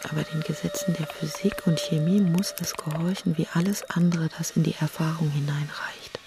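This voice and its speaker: An actress playing a resolute, analytical heroine in a literary radio drama. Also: female voice, low voice, steady tone, moderate pace, noisy background. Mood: determined. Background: music.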